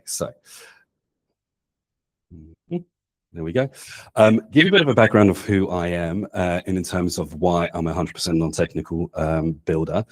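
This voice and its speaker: A man in his forties giving a casual, chatty presentation to an audience in a meeting room, speaking into a handheld microphone and often checking in with "right?". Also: low voice. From not Australia. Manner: casual and chatty, with many "um"s and tag questions ("right?"). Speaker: presenter at a community meetup.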